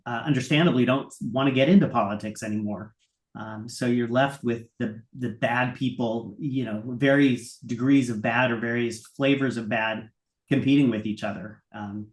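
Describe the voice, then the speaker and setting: Man on a roomy-sounding video call. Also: mid-range voice. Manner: measured, hedging with fillers. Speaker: podcast guest. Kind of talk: political commentary chat. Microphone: video call, quiet at first.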